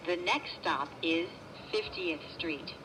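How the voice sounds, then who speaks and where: Female transit announcer, slightly low pitch, measured scripted delivery in clipped segments, noticeably noisy vehicle-ambience recording. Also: big pitch swings. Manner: measured, formal, segmented phrasing. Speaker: train announcer.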